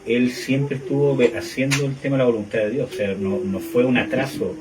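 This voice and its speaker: A man's voice: medium pitch, steady tone, a little fast, crowd noise. A man in a conversational Bible discussion, speaking tentatively and qualifying his own statements. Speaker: discussion participant. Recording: distant mic, people clearly heard around.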